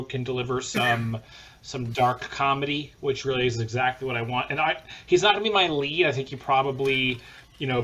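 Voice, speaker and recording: A man's voice: mid-range voice, pushed and bright, highs cut off. A man hosting a podcast in casual, conversational chat. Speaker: podcast host.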